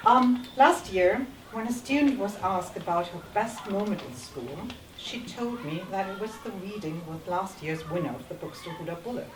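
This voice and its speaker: A teacher making a polite, formal welcome speech at a school reading, speaking English as a German native with some ums. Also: female voice, mid-range voice, expressive, noisy background. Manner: polite, careful, with ums and pauses. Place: school hall. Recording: echoey hall, distant mic, audible ambience.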